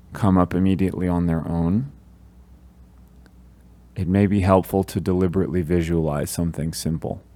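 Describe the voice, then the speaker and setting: A man leading a guided meditation, giving gentle, calm instructions with long pauses between phrases. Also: low voice.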